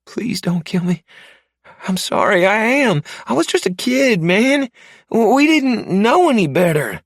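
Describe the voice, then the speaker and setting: A man voicing a panicked, sleazy lowlife man who frantically begs for his life in a strained, pitched-up voice. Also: very animated, measured pace, creaky, no echo, clean studio sound, full character voice. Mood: sobbing pleading. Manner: frantic, pleading, later sneering. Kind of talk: audiobook character voice.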